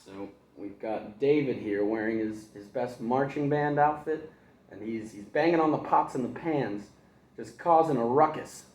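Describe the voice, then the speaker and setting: A man's voice: mid-range voice, moderate pace, darkish tone. Chatty male teacher reading aloud, echoey room. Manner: chatty, digressive asides, chuckles. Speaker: kindergarten teacher. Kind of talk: reading a picture book aloud to children.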